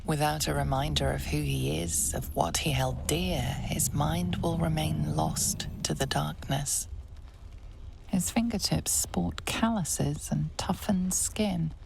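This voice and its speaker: An actress voicing a grave female healer in a fantasy game, measured, formal and clinical, with a gravelly, creaky voice. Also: sits low, a little mellow, exaggerated voice, low-quality recording. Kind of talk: video game voice acting.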